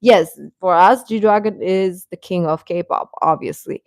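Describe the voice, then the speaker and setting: A woman hosting a casual fan livestream, rambling and halting as she replies to comments, often with a chuckle in her talk. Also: fairly low pitch, dry recording, studio quality. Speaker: host of a K-pop fan channel.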